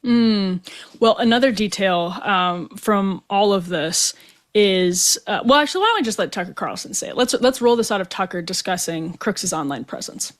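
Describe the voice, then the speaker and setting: A woman's voice: mid-range voice, expressive, normal speed. An American woman hosting a talk show, giving conversational commentary on the news.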